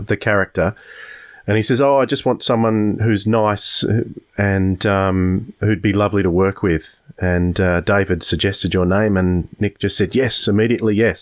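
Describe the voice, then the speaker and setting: A male podcast co-host with a fairly low voice. He talks conversationally to a guest, pausing between short phrases as he relates things.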